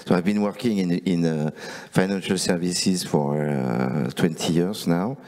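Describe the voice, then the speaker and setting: A man on a conference panel, halting and full of restarts, speaking into a handheld mic. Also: sits low, a little mellow. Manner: halting, many fillers and restarts. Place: conference stage.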